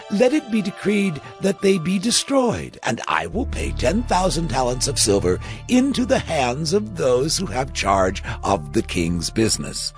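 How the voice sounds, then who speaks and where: Scheming royal adviser, theatrical and insinuating, extremely animated pitch, scored biblical audio drama. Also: male voice, heavily acted. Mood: coaxing. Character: scheming, persuasive male royal official in ancient Persia. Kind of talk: dramatized audio Bible acting.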